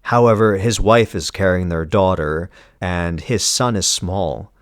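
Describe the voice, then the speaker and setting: Deep-voiced man narrating, dry studio sound. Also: a little slow, no room sound. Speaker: narrator recapping a comic story. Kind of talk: scripted dramatic narration.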